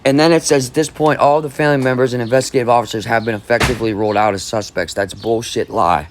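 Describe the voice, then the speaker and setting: A man's voice: mid-range voice, fairly even intonation, fast talker, a little hiss or hum. A man hosting a true crime channel, reading an article aloud in casual commentary, rambling and skeptical. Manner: rambling, skeptical, hums between passages.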